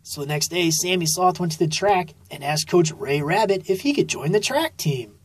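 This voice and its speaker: A woman narrating a children's story expressively and deliberately, drawing out key phrases, recorded in a studio. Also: fairly low pitch, animated, slightly rough. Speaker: author reading own children's book.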